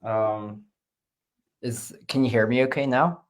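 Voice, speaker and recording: A man from Canada talking casually and haltingly as an interview guest, through a laptop on a video call. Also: medium pitch. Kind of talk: casual self-introduction and chat.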